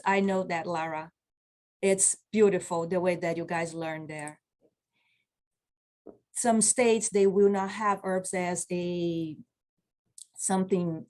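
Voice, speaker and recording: Slow, earnest woman teaching on a video call. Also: on the low side, a little mellow. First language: not English. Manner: slow, earnest, encouraging, reads out chat replies. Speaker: Oriental medicine practitioner teaching a course. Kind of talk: online continuing-education lecture. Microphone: video call webcam.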